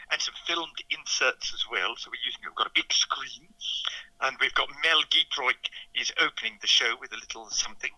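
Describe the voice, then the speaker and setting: A man's voice: mid-range voice, sharp tone, dry recording, low-quality recording. A man with a 1950s Received Pronunciation accent, a comedy entertainer talking as a radio interview guest in a plummy, theatrical way.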